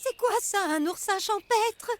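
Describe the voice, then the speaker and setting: A woman's voice: very high voice, a little slow, background noise. An actress playing a cheerful but easily worried female family member in a cartoon. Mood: puzzled, worried.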